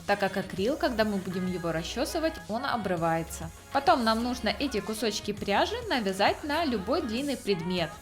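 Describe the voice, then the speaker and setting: Woman, craft channel host, scripted step-by-step narration, animated intonation, soft background music. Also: medium pitch. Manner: step-by-step explaining.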